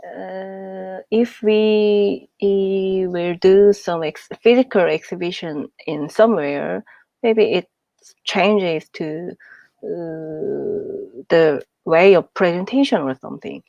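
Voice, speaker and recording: Slow, gravelly woman on a lo-fi video call. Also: fairly low pitch, warm tone, dry recording. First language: not English. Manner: halting, searching for words. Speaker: artist. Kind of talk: online meeting discussion. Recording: dull lo-fi call audio.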